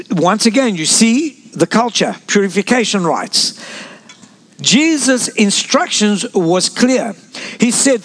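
A man born in South Africa preaching a sermon as pastor, sprinkling amens and asides to the congregation. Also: sits high.

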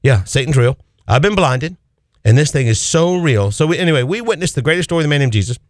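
Animated preaching man, dry close sound. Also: a little high, fast talker, dry recording. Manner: preaching with animated, swinging emphasis. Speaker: pastor. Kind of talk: sermon.

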